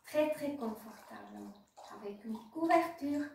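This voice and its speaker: Very slow, high-voiced woman guiding meditation. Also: expressive, vocal fry, a little mellow, a little hiss or hum. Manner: slow, pausing instructions in short phrases. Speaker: yoga nidra teacher. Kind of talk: guided meditation. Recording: very echoey room, distant microphone.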